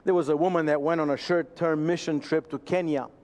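High-voiced preaching man from Romania. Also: steady tone, darkish tone. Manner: earnest, emphatic, slows down for key phrases. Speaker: pastor. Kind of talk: sermon.

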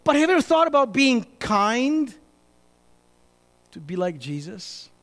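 A pastor preaching a sermon, emphatic, moving between loud bursts and hushed asides. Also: male voice, very high-pitched, sing-song, unhurried. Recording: reverberant hall.

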